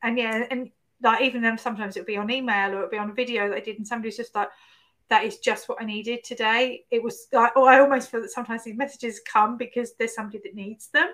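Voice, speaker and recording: A woman's voice: fairly high pitch, fairly even intonation, quick pace, a bit bright. A woman hosting a podcast interview, chatty and reflective, sharing her own stories. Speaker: podcast host.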